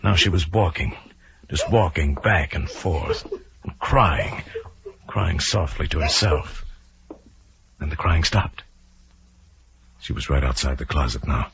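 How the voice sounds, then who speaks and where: A man's voice: a bass voice, slow, gravelly, long pauses, noisy background. A man narrating a suspense story in a dramatic, scripted radio style. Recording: muffled vintage radio recording with music. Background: music.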